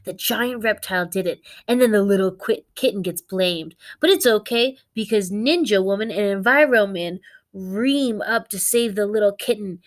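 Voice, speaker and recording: A woman's voice: medium pitch, normal speed, lightly acted. A woman voicing a blunt, talkative female family dog in a children's storybook. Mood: rambling, excited. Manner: outspoken, cheeky, talkative.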